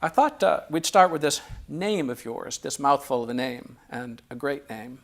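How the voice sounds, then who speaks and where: A man hosting a relaxed interview, asking questions with frequent pauses, ums and restarts. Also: mid-range voice. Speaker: interviewer and host.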